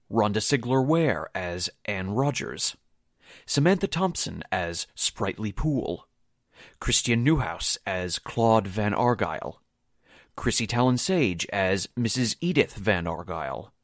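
A man's voice: fairly low pitch, sing-song, slow pace, no echo, studio quality. A man announcing in a scripted, courteous and formal way, as the presenter of a radio drama. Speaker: announcer and cast member.

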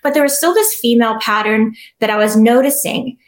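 A middle-aged woman with a soft voice, telling her personal story as an interview guest, earnest and reflective with many restarts. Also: a little high, fairly quick, roomy sound.

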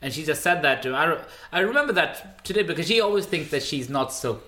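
Animated young man, bright roomy voice. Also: on the high side, quick pace. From Sweden. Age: 20s. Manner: animated, exasperated storytelling with mock-indignant asides. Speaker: comedian co-host. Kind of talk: casual chat. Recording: roomy echo.

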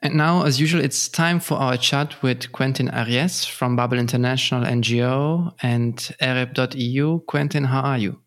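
A man's voice: medium pitch, fairly even intonation, normal speed, few pauses. A man hosting a podcast, introducing and questioning his guest in a conversational style. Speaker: podcast host. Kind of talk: conversational interviewing.

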